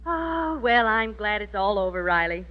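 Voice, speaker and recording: Woman playing affectionate wife, lively intonation. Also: mid-range voice, moderate pace, loud music behind the voice, natural voice. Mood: relieved, fond. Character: affectionate, cheerful wife of a bumbling husband. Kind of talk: 1940s radio sitcom acting. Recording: muffled old 1940s radio broadcast.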